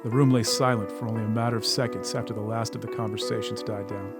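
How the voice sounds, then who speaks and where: A man's voice: fairly low pitch, fairly even intonation, quick pace. A man reading scripted dramatic narration for an audio story. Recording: music under the voice. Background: prominent music.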